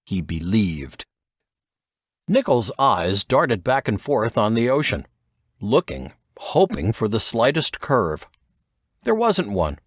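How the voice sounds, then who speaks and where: A man narrates a novel in a lowish voice with lively, varied intonation. The delivery is scripted storytelling, captured in a close, dry recording. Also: dry recording. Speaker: audiobook narrator.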